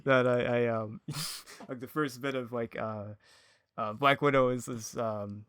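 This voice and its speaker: A man with a medium-pitched voice chats informally on a podcast. He feels his way through sentences, hesitant and rambling, padding them with fillers. The sound is dry and close. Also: steady tone, a little slow, fairly bright tone, clean tone, no room sound. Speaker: audio drama creator, podcast speaker. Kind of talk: casual podcast chat.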